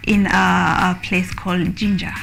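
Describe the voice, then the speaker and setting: A woman, a musician, speaking softly and hesitantly as a guest in a studio interview. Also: on the low side, flat delivery, unhurried, creaky, faint music behind, rough recording. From Kenya. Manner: soft-spoken, hesitant, laughs often.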